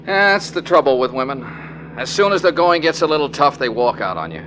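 An actor playing a hard-boiled, sardonic private detective with a dry, brisk delivery in 1940s radio drama style. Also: male voice, a little high, animated, background noise, close to an everyday voice. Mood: sardonic, teasing.

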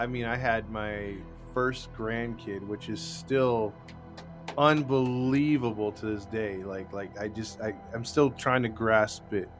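Man, 40s, podcast co-host. Excitable and stammery, mid-pitched voice, faint music underneath. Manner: excitable, stammers and repeats words, gets loud when laughing. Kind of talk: casual live podcast chat.

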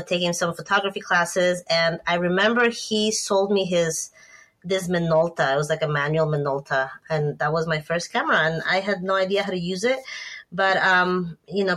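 Interview guest: woman, 30s, from Ecuador, relaxed rambling talk, lower pitch. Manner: chatty, lots of fillers and restarts. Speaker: guest photographer.

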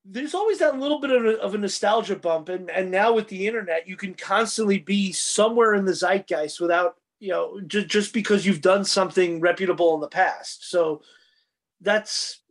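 A man co-hosting a podcast, talking casually about screen entertainment in a rambling, halting way that often circles back on itself. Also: high voice. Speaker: podcast co-host. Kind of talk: casual panel chat about TV and film.

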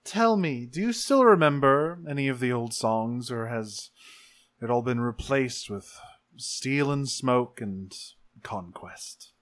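A man plays a proud rebel woman, a musician in a tabletop adventure. The delivery is theatrical and teasing, always throwing down a challenge, at a middling male pitch. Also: lively intonation, slow pace, a bit bright. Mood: needling.

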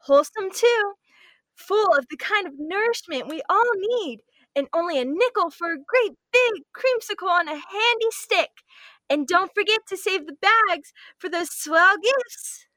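A woman's voice: extremely high pitch, lively intonation, a little slow, bright, forceful tone, no room sound, exaggerated voice. A young woman playing a perky, wholesome kid selling sweets in an old-time radio commercial. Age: young adult.

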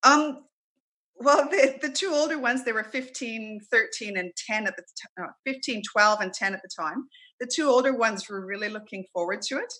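Bright-voiced woman, chatty. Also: on the high side, moderate pace. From Canada. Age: middle-aged. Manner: chatty, with frequent ums and laughs. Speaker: interview guest. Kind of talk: casual conversational interview.

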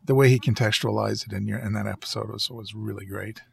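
A man chatting casually on a podcast in an easygoing way, pausing mid-sentence to rephrase. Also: on the low side, steady tone, creaky, darkish tone, breathy edge, dry recording. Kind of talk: casual conversational podcast chat.

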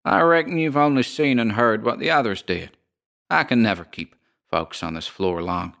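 A man voicing a coolly unbothered landlady of a haunted boarding house, with a metallic voice and a slow folksy drawl. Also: mid-range voice, expressive, vocal fry, fairly bright tone.

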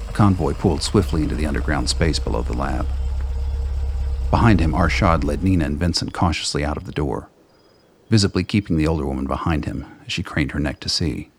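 A man with a gravelly, husky voice reading scripted narration for a radio play. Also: a bass voice.

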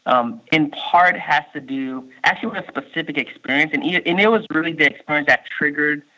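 A man in his thirties, born in Haiti and raised in Miami, with a Miami accent, answering interview questions in a halting way, full of fillers and restarts. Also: on the high side, sharp tone. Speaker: state workforce official, guest. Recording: muffled lo-fi recording.

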